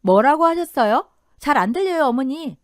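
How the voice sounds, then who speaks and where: A woman's voice: high voice, animated, normal speed, dry recording, studio quality, pitched above the performer's own voice. A woman voicing a plucky adult daughter, pert and defiantly polite, in a radio story. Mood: mock innocent.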